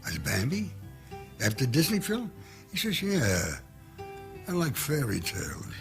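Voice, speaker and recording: A man with a New York accent and a gravelly voice telling an anecdote, acting out the dialogue. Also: mid-range voice, unhurried, faint music behind. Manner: lively storyteller, acts out dialogue. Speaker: interviewee.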